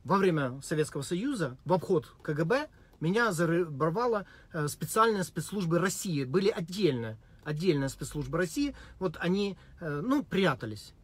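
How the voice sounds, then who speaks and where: A man giving a deadpan, mock-serious spoken monologue with many hesitations and fillers. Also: fairly high pitch, normal speed, slightly rough. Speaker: vlogger.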